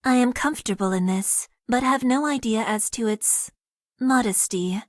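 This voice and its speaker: A woman voicing a shy, formal young mecha pilot in a game, speaking softly in stiff, literal phrases. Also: a little high, normal speed, no room sound, studio quality, close to an everyday voice. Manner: soft-spoken, stiff and literal. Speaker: voice actress. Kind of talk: game character voice lines.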